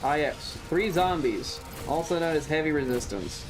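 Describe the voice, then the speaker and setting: A man's voice: on the high side, lively intonation, normal speed, music in the background, poor audio. A man streaming a video game with casual live commentary, in a playful, sarcastic and theatrical manner. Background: video game ambience.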